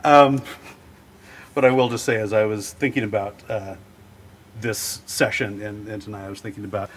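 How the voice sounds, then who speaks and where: A man's voice: on the low side, slight background noise. Playful man hosting a joke quiz. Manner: playful, jokey, quick deadpan punchlines. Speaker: host. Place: sound booth.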